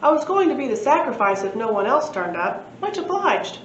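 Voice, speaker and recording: A woman's voice: high-pitched, big pitch swings, fairly quick, recorded from a distance, pitched above the performer's own voice. A woman reading a storybook, voicing a mild, grateful little thin man with a polite, understated manner. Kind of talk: storybook read-aloud. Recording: echoey room, muffled, faint noise.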